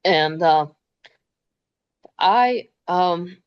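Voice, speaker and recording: Host, woman in her 50s, lower pitch, reflective and pausing. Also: smooth, muffled recording. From Transylvania, Romania. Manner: thoughtful, many ums and pauses. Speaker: interview host. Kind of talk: moderated talk.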